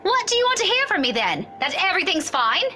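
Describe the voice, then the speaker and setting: A bright, lively, level-headed young woman. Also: very high-pitched, quick pace, a little hiss or hum, heavily acted. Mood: defensive. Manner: firm, defensive, earnest.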